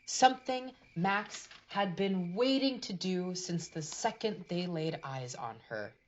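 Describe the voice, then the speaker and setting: A woman's voice: lowish voice, lively intonation, takes it slowly, highs cut off, slight background noise. A woman narrating a scripted storybook tale for a puppet show in a dramatic fairy-tale manner.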